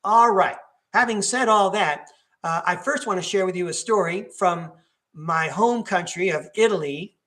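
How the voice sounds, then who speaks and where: Bright, high-voiced man. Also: moderate pace. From New York, United States. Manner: digressive, chatty, many fillers and self-corrections. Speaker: podcast host. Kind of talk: rambling solo talk.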